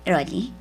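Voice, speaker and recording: Husky, high-voiced young woman played by an actress. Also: dark tone, heavily acted. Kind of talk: Filipino radio drama acting.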